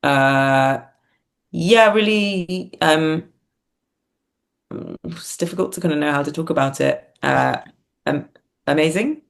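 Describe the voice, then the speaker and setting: A woman's voice: low-pitched, slow, creaky, long pauses. A woman reflecting as an interview guest, speaking hesitantly and often searching for words. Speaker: podcast guest, theatre writer and performer.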